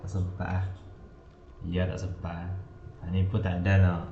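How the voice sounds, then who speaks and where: Deep voiced gamer guy chatting casually, roomy muffled sound, bit of noise. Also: completely flat delivery, normal speed. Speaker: gamer playing on stream. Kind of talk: casual gameplay chat. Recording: dull, echoey mic with faint noise.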